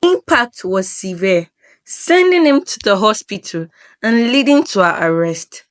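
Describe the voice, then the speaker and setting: A woman narrating a scripted folktale in a solemn, dramatic storyteller's manner. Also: mid-range voice.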